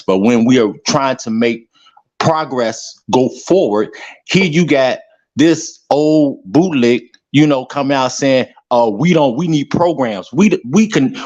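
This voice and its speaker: A man from the South Side of Chicago calling in to give his opinion, talking in a halting way with many fillers and restarts. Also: medium pitch, darkish tone, dull audio. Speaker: caller. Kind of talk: casual call-in opinion.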